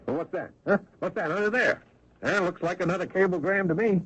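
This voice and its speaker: A man's voice: sits high, brisk, fairly bright tone, slightly rough. An actor in an old-time radio drama playing a cocky, pushy male salesman with breezy, slangy talk. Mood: cocky, impatient. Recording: dry, dull lo-fi radio recording.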